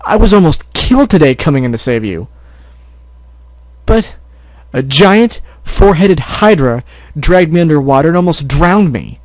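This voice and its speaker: A man voicing an exhausted adult stallion who shouts and scolds his little sister. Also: medium pitch, normal speed, no room sound, close to an everyday voice. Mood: angry. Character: adult stallion, exhausted and angry big brother.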